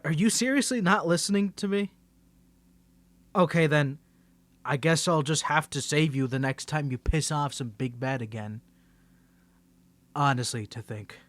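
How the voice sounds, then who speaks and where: A man's voice: a little high, fairly bright tone, no echo. A man playing a confident, teasing young superhero in a scripted audio roleplay, bantering playfully and stammering when he gets flustered. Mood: exasperated, teasing.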